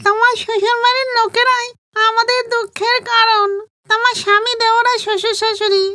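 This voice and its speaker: A woman's voice: very high voice, flat delivery, a little fast, sharp tone, dry recording, pitched above the performer's own voice. A woman voicing a tearful, aggrieved talking hen in a cartoon, complaining in a plaintive, accusing way. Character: tearful aggrieved talking hen, female, bitter about stolen eggs.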